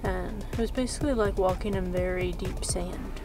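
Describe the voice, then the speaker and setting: A woman's voice: fairly low pitch, moderate pace. Casual female vlogger, music behind. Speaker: travel vlogger. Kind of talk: casual chat to camera. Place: inside a car.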